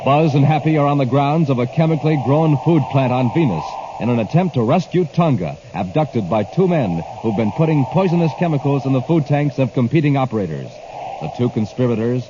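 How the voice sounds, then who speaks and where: A man announcing and narrating a recap in the style of a 1950s radio serial. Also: mid-range voice. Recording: dull, noisy old radio recording.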